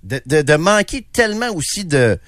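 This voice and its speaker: A man's voice: a little high, sing-song, normal speed, fairly bright tone, dry recording, studio quality. A man with a Québécois accent co-hosting a radio talk show, opinionated and indignant, often restarting his sentences. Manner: emphatic, indignant, many restarts. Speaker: radio co-host. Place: radio studio.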